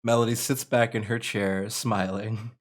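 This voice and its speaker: A man's voice: fairly low pitch, little pitch movement, measured pace. A man narrating stage directions as part of a script table read.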